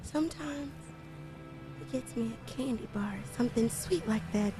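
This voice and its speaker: A woman's voice: a little high, moderate pace, music in the background, close to an everyday voice. A woman playing a fragile, confused character in a horror audio drama, faltering and apologetic as she talks. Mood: wistful. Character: fragile, confused, frightened woman held captive by dread.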